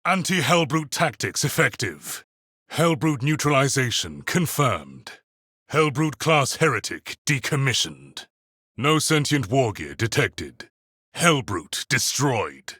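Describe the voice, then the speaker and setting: A man's voice: mid-range voice, very animated, slow, pushed and bright, breathy edge, dry recording, studio quality, heavily acted. A man voicing a militant space marine tactician in a video game, reporting in clipped technical phrases. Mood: clinical report. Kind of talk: video game battle barks.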